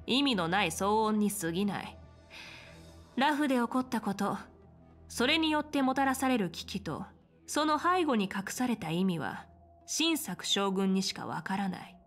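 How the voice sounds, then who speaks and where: Game general: woman, composed, authoritative, frank, measured delivery over background music. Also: medium pitch. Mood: firm, serious. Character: confident, composed female military general in fantasy game. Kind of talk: dramatic Japanese game voice acting.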